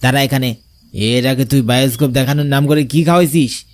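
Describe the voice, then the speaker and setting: A man acts out an angry villager from a cartoon horror tale. He speaks at a middling pitch, accusing and confronting a stranger like someone ready to make threats. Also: fairly even intonation, a little fast, close to an everyday voice. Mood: angry, threatening. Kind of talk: cartoon horror story dialogue.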